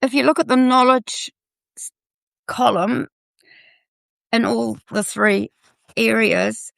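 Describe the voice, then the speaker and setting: Older woman, new zealand accent, croaky with a cold, very gravelly, halting and earnest, dry sound. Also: a little high, steady tone, fairly bright tone, dry recording. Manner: earnest, halting, restarts mid-phrase. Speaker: health education guest. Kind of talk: live online discussion.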